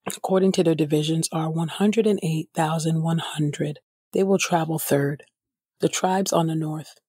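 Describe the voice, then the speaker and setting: A woman hosting a podcast and reading Bible passages from a script in a measured, formal manner. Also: lowish voice, warm tone, slightly rough, dry recording, studio quality. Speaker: podcast host and scripture reader.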